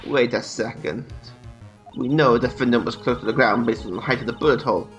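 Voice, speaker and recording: A man's voice: medium pitch, fairly quick, a bit bright, muffled recording, faint music behind. Irreverent young man playing a game. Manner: irreverent, crude, laughing often. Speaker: let's play host. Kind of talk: joking game commentary and reading dialogue aloud.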